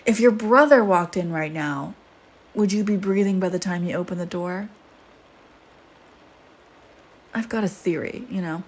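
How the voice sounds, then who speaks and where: A woman's voice: mid-range voice, lightly acted. A woman playing a flirty, teasing vampire in an intimate audio roleplay, with a sardonic, casual manner. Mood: musing, playful. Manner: sardonic, teasing, casual murmuring with sighs and whispered asides.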